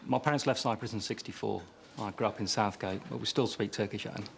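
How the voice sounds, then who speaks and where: An actor playing a calm, confident detective constable in a TV police drama. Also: male voice, lowish voice, fast talker, gravelly, a little hiss or hum. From London.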